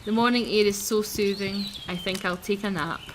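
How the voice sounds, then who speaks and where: A woman reads as a young fairy-tale servant girl from a visual novel, lonely and dreamy. Her musing voice sits at a middle pitch, with faint noise and birdsong behind it. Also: steady tone, moderate pace, close to an everyday voice. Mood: weary, resentful. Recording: noisy, game birdsong behind the voice.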